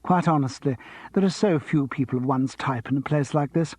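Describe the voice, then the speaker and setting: A man's voice: mid-range voice, a little fast, a little mellow, no echo, natural voice. A man voicing a gushing, affected theatrical actress who coos and calls everyone darling, in a storybook reading. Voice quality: cooing, affected. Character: gushing theatrical actress, middle-aged woman.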